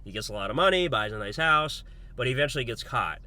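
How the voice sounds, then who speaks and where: A congested man, under the weather, giving a relaxed, rambling movie review full of fillers. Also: mid-range voice, fast talker. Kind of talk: casual spoken movie review.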